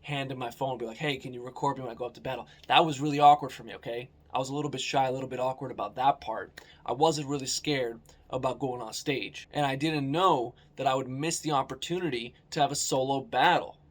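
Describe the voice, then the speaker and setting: A man's voice: medium pitch, fairly even intonation, fast. An American man narrating his own vlog in a casual, candid way, swearing now and then. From United States. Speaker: vlogger.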